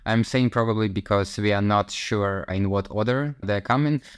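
A man co-hosting a casual interview podcast, welcoming the guest and asking questions in a relaxed, friendly way. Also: fairly low pitch, moderate pace, clean tone, no echo, studio quality. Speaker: podcast co-host.

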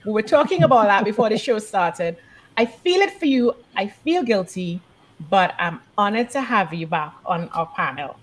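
A West Indian woman hosting a podcast, animated and affirming with her panel. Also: mid-range voice, moderate pace, slight background noise, low-quality recording. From West Indies. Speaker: podcast host.

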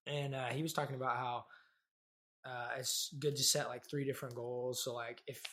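A man's voice: mid-range voice, flat delivery, moderate pace, a bit bright. A man in his twenties from Kansas, hosting a casual podcast chat in a loose, conversational way with many fillers. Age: 20s. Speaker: podcast host.

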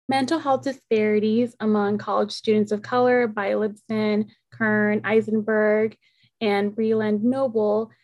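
A young woman whose first language is Spanish, co-hosting a podcast in an earnest, measured way that slows down on key points. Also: mid-range voice, a little mellow. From Oceanside, California. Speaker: podcast co-host. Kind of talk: podcast discussion.

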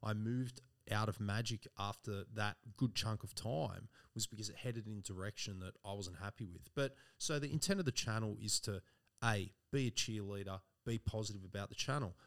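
Earnest man, lowish voice. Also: fairly quick. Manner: earnest, says "team" and "you know" a lot. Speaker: podcast co-host. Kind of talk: casual chat.

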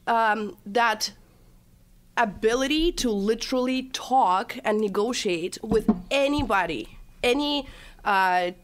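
A woman's voice: on the high side, slow pace. This is a young woman with a Russian accent, talking casually as a guest. She tells stories in a chatty way and acts out quoted dialogue. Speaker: guest, TikTok creator. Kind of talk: casual interview chat.

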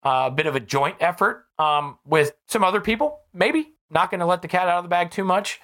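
An American podcast host delivering an impassioned political monologue, emphatic and repeating phrases for effect, with a slightly hoarse voice. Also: mid-range voice, lively intonation, brisk, fairly bright tone. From United States.

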